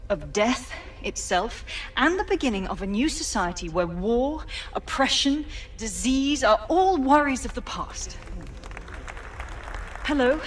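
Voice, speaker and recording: A woman's voice: medium pitch, far from the mic, slight background noise, lightly acted. An actress playing an assured, visionary female tech CEO giving a scripted keynote in a video game.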